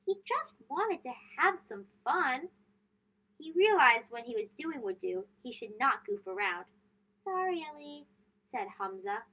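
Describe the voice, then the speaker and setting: A woman reading a children's story aloud in an expressive storytelling manner, stressing key words. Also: on the high side, unhurried, husky. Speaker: storybook reader and host. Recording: dull lo-fi sound.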